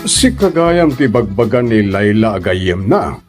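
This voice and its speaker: A man's voice: fairly low pitch, sing-song, measured pace, over loud music. A man playing a kindly, encouraging boss and landlord in an audio drama, with an Ilocano Filipino accent. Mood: welcoming. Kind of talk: radio-style drama acting.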